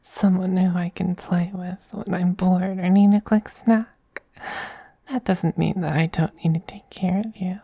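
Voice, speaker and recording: Man voicing a breathy, whispering femboy vampire queen. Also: high-pitched, level tone, mellow, dry recording, heavily acted. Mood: possessive, tender. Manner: slow, condescending, doting. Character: dominant, possessive, tender femboy vampire queen soothing a pet. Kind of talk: soft-spoken ASMR roleplay.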